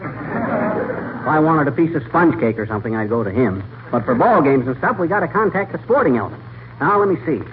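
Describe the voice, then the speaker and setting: An actor playing a blustery, wisecracking, folksy husband in 1940s radio comedy, grumbling and exasperated. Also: male voice, fairly high pitch, sing-song, brisk. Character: grumbling, blustery middle-aged husband with tall claims. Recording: tinny, noisy vintage radio broadcast.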